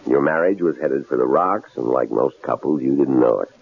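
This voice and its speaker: On a dull, hissy old radio drama, a shrewd middle-aged professor, folksy and self-satisfied. His gravelly, very low voice swings widely and halts to repeat words. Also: animated, normal speed, full character voice. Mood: calm, explaining. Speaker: actor. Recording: dull, noisy vintage radio drama recording.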